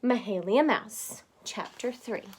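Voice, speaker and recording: A woman's voice: on the high side, a little hiss or hum. A woman reading a children's storybook aloud expressively, in the manner of someone explaining it to young listeners. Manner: expressive, pausing to explain words simply. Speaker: storybook reader.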